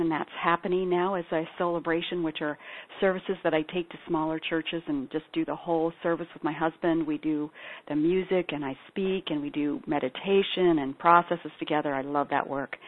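A middle-aged woman, a spiritual practitioner and singer-songwriter, telling her personal story in an earnest, heartfelt way. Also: on the low side. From Ethiopia. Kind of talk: personal testimony talk. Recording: tinny phone-like sound.

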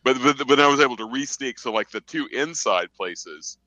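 A man in a casual gaming roundtable chat, a podcast panelist who explains things in a rambling, halting way. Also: medium pitch, lively intonation, sharp tone, no room sound, rough recording.

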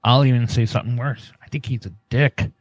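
A man's voice: mid-range voice, fairly even intonation, brisk. A man co-hosting a casual talk show, blunt and opinionated in his chat. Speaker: radio show co-host.

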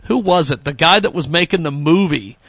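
A man hosting a radio talk show, speaking haltingly with lots of fillers and frequent laughter. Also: fairly high pitch, fairly bright tone, no room sound.

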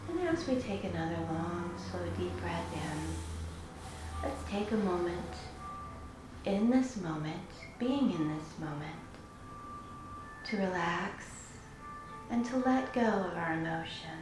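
Female meditation leader, soothing and unhurried, phrase-by-phrase delivery, strongly reverberant sound over clear music. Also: lowish voice, expressive. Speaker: meditation guide. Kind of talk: guided meditation, slow instruction. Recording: very echoey room with music.

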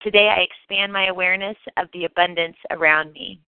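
A woman reciting affirmations for a guided meditation in measured, declarative sentences. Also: lowish voice, steady tone, clear, resonant tone, no room sound. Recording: dull, close, dry recording.

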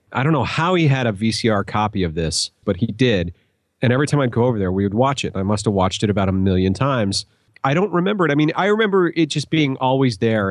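A man's voice: medium pitch, no room sound, clean studio sound. A middle-aged American man chatting casually as a podcast guest, telling anecdotes.

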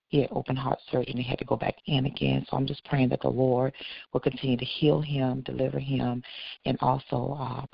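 A man calling in to a prayer line and offering steady prayer requests with small fillers. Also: medium pitch, no room sound. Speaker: caller. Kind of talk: spoken prayer on a call. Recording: muffled phone line, gravelly voice.

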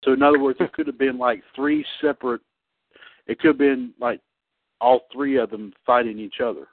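A man in his thirties hosting a casual online radio show about wrestling. He talks haltingly, with many fillers and restarts. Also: medium pitch, steady tone, noisy background. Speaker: podcast host. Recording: tinny phone-line sound, dry.

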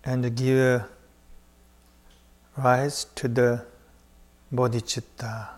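A man leading a guided meditation, speaking in short phrases with long pauses between them. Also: medium pitch, level tone, a little mellow. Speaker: meditation teacher.

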